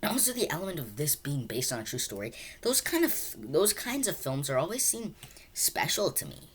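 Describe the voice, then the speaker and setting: Very low-voiced woman, halting and rambling. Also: very animated, a little hiss or hum. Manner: halting, rambling, repeats words and restarts sentences often. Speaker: film reviewer. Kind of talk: casual unscripted movie review.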